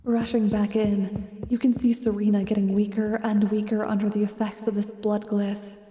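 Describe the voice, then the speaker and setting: A woman's voice: medium pitch, flat delivery, normal speed, mellow, echoey room, big-room sound, distant mic, slight background noise. A woman reading scripted second-person narration for a fantasy audio roleplay.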